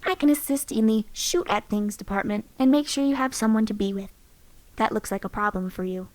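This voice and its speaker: Game robot companion, female, cocky and sarcastic, cutting delivery, somewhat high voice, played by a woman. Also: brisk, darkish tone, natural voice. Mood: confident.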